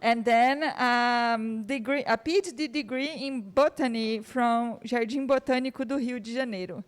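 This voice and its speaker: A woman's voice: a little high, moderate pace. Chatty woman introducing a guest speaker. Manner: warm, chatty, laughs between remarks. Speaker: course host introducing a speaker. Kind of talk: casual spoken introduction. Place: lecture room.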